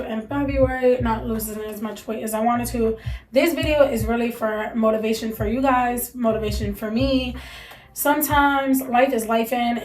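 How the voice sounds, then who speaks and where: A woman's voice: a little high, roomy sound, slight background noise, low-quality recording. A young woman, a mother, talking candidly to camera in a casual chat, sounding stuffy and congested from allergies, with lots of fillers. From United States. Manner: chatty, candid, many fillers. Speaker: vlogger, mother.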